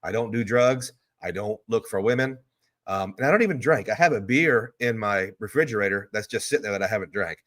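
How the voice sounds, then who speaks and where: A man's voice: lowish voice, lively intonation, fairly quick, fairly bright tone. A man hosting a live stream, chatting loosely and answering viewer questions in a rambling, easygoing way. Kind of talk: casual live stream chat. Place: hotel room.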